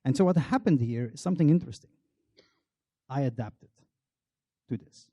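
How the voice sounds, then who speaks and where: A man's voice: medium pitch, lively intonation, moderate pace, dark tone, no room sound, studio quality. A middle-aged Belgian man giving a public talk, telling a wry, self-deprecating personal story. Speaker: marketing researcher on a panel.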